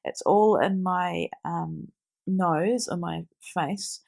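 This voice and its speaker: A woman's voice: lowish voice, fairly even intonation, drawn-out words, creaky, a little mellow. A middle-aged woman with a New Zealand accent, stuffy and nasal from a cold, chatting through a craft tutorial step by step with frequent ums. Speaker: craft video host. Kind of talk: casual craft tutorial voice-over.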